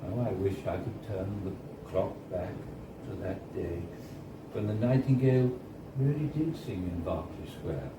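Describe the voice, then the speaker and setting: A man reads a first-person story aloud at a live event in a bar, in a measured storytelling manner with deliberate pauses. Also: sits low. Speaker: reader of a short story. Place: indoors at a bar, live reading. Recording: roomy, distant, noisy live bar recording. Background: clear background noise and room ambience.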